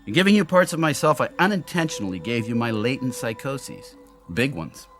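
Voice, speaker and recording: A man's voice: medium pitch, animated, fairly quick, faint music behind, natural voice. An actor in a radio drama playing a brilliant, lonely male inventor who explains himself in theatrical, brooding monologues. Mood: grave, frank. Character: brilliant, lonely, self-doubting male inventor of an android double.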